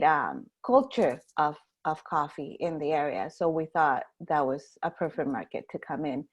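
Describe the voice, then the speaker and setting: A woman from Guatemala, a guest on a video-call webinar, who talks haltingly with many fillers and restarts. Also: on the low side, a little mellow. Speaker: coffee importer guest. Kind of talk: webinar interview.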